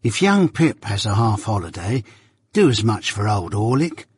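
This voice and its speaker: Surly journeyman smith for an audiobook, male reader: gruff growl, rustic dialect, grudging, jealous, spoiling for a fight. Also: fairly low pitch, very animated, normal speed, darkish tone, no room sound, studio quality, big-room sound. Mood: aggrieved.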